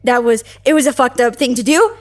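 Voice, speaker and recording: An actress playing an awkward, chatty young security agent in an audio drama, blurting and stammering casually. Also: female voice, on the high side, fairly quick, close to an everyday voice. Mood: sheepish. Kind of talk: naturalistic audio drama acting.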